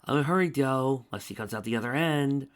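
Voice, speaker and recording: A man's voice: mid-range voice, brisk, pitched above the performer's own voice, natural voice. A man voicing an insane male alicorn villain who taunts and snickers at his foe. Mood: taunting.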